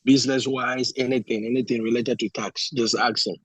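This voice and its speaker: A man's voice: mid-range voice, steady tone, brisk, fairly bright tone, slightly rough, no room sound. A Ghanaian-accented man with a slightly lost voice, under the weather, casually introducing a guest on a group call. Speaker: meeting organiser introducing a guest.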